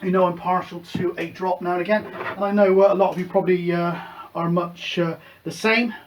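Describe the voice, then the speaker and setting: A man's voice: sits high, fairly quick, a little hiss or hum. A middle-aged man hosting his own radio hobby show, chatting casually and rambling with plenty of fillers. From United Kingdom. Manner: relaxed, rambling, many fillers. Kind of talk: casual show-and-tell chat.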